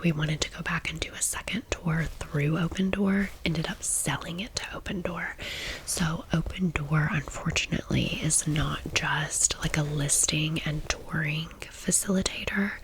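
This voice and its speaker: A woman's voice: low voice, fairly bright tone, poor audio. A woman in her thirties whispering a chatty, informal ASMR storytime as the host of her channel. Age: 30s. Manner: chatty, informal, gushing asides.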